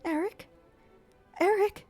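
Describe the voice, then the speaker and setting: A woman's voice: takes it slowly, faint music behind. A woman doing a frightened pleading young woman. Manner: pleading, high and gentle.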